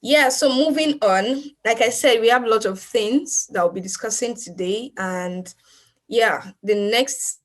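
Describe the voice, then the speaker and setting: A woman's voice: fairly high pitch, unhurried. A woman hosts an online event over a video call. She talks in a loose, playful way and jokes with the next speaker. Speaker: event host. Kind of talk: casual moderating.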